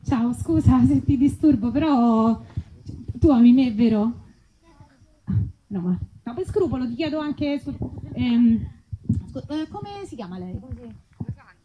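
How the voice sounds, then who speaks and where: A woman's voice: on the high side, expressive, unhurried, long pauses, dark tone, highs cut off, slight background noise. A woman comedian talking in a colloquial, hesitant and indignant way in a live stage routine. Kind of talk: conversational comic bit, mock phone call.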